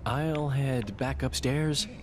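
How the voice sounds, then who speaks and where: An actor playing a dour, brooding noir superhero in a video game, gloomy and clipped. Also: male voice, medium pitch, slow pace, heavy background noise, natural voice. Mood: glum. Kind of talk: video game cutscene acting.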